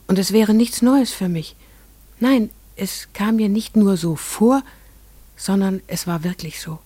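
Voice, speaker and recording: An actress playing an entranced farmer's wife and mother, telling her strange memories in a measured, lyrical radio play monologue. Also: medium pitch, a little mellow, husky, no room sound. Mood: yearning, awed.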